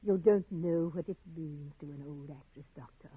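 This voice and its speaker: An actress playing a fluttery, wistful older former stage actress in a theatrical 1950s radio drama. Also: female voice, deep voice, moderate pace, husky, no room sound. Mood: wistful delight. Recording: muffled, narrow-band 1950s radio recording.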